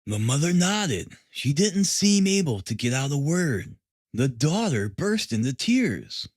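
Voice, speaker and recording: A man's voice: fairly high pitch, big pitch swings, measured pace, darkish tone, no room sound, clean studio sound. A man reading a classic detective story aloud as scripted narration. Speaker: audiobook narrator.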